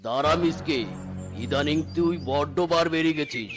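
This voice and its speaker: Man as indignant high-voiced cartoon tiger. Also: normal speed, music in the background, lightly acted. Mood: angry, threatening. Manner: scolding, exclaiming, threatening. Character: gruff, indignant tiger farmer uncle guarding his sugarcane. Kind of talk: animated cartoon dialogue.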